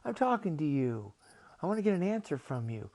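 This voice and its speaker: A man's voice: on the high side, very animated, brisk, darkish tone, pitched above the performer's own voice. A man voicing a brash, impatient talking octopus in a storybook tale, cajoling in casual, slangy, exasperated speech.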